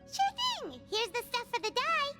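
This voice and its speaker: A woman's voice: extremely high pitch, huge pitch swings, unhurried, exaggerated voice. A woman voicing a tiny childlike girl sprite, an eager, chirpy and chatty companion in a video game. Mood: cheerful. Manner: speaks of itself in the third person, chirpy. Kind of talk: video game character dialogue. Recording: clear music behind the voice.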